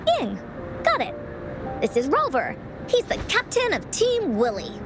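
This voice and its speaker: Very high-pitched, excitable little girl adventurer. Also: unhurried, exaggerated voice. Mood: bubbly, confident. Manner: refers to self in third person, bubbly. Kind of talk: video game voice acting. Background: music.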